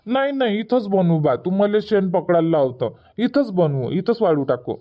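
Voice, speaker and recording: A man's voice: sits high, animated, normal speed. A man voicing an impatient, stubborn village boy in a cartoon comedy, whiny and argumentative, with a Varhadi Marathi accent. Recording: dull, muffled lo-fi audio.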